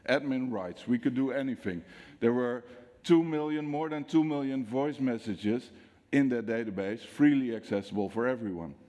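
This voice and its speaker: Man, Dutch accent, conference speaker, storytelling, asks the audience questions, mid pitch, long hall reverb. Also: normal speed, warm tone. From Netherlands. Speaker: security speaker.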